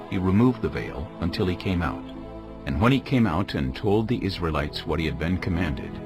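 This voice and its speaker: Very deep, expressive male scripture narrator. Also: vocal fry. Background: music bed.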